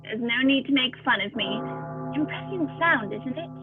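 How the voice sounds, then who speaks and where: A woman playing a genial, avuncular old gentleman doctor who tells stories in a radio play. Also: high-pitched, expressive, normal speed. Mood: amiable. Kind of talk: radio play acting and narration. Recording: dull lo-fi dry audio, faint music.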